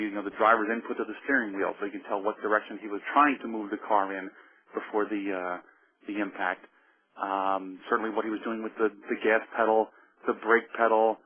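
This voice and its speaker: A middle-aged man with a New Jersey accent, giving a technical webinar lecture in an easygoing way, often repeating words and restarting. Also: lowish voice. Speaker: webinar presenter, forensic engineer. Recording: phone-like webinar audio.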